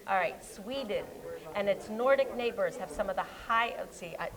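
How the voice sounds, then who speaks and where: A woman's voice: medium pitch, animated, moderate pace, fairly bright tone. A woman co-hosting a local library show, chatting casually about books and trailing off mid-word, with an American accent. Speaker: co-host, children's librarian. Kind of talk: casual chat. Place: public library.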